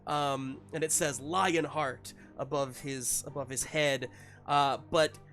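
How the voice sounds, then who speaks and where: A man improvising narration as game master of a tabletop game, piecing scenes together haltingly. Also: medium pitch, fairly even intonation, fairly bright tone, soft background music. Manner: halting, searching for words in pieces.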